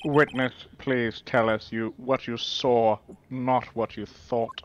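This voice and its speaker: A man playing a smug, dismissive prosecutor in a fan dub of a courtroom game, sneering and deliberate. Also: mid-range voice, steady tone, muffled recording, slight background noise, lightly acted. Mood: cutting.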